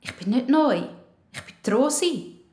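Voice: high-pitched, animated, moderate pace, a little mellow, echoey room. A performer playing a plucky, sceptical young girl with a Swiss accent in a children's radio play. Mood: defiant.

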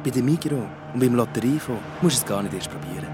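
An actor playing a smug, dismissive, cynical city culture official in a radio drama. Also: male voice, mid-range voice, expressive, quick pace, over music, lightly acted. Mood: smug, cold. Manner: dismissive, flippant. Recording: clear urban traffic noise.

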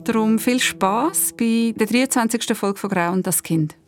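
Female podcast co-host, fairly high voice, casual and conversational. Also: moderate pace, music in the background.

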